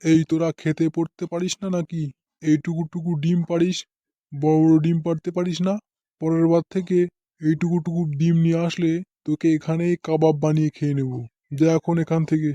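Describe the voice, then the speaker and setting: A man's voice: on the high side, flat delivery, brisk, dark tone, no room sound, muffled recording, natural voice. A man playing an always hungry, bullying man-eating ogre in a cartoon, boastful, bossy and threatening. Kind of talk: cartoon character acting.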